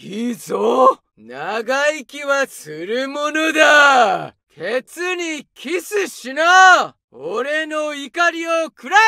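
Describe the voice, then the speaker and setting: An actor playing a young male Japanese infantry soldier, barking orders and battle shouts as game callouts. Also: very high-pitched, creaky, no echo, clean studio sound, exaggerated voice. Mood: battle cry. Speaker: soldier voice lines.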